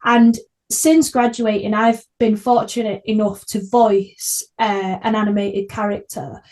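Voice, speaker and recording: A woman's voice: mid-range voice, little pitch movement, measured pace, darkish tone. A young woman with a Manchester accent, hosting a podcast interview in an effusive, chatty way. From Manchester, England. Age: young adult. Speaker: podcast host. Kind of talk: casual interview chat.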